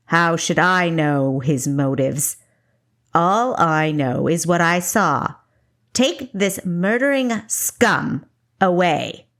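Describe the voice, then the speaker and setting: A cruel, haughty baron played by a woman narrator in an adventure audiobook. He speaks low and slowly, with commanding contempt. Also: lively intonation, fairly bright tone, heavily acted. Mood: cold contempt. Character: cruel, haughty male baron, sneering villain.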